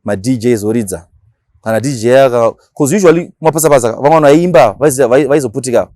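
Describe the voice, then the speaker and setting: A Shona-speaking man with a Zimbabwean accent, talking casually as an interview guest and explaining things in animated, slangy language with acted-out examples. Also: medium pitch, fast talker, no room sound, studio quality. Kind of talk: casual interview talk mixing Shona and English.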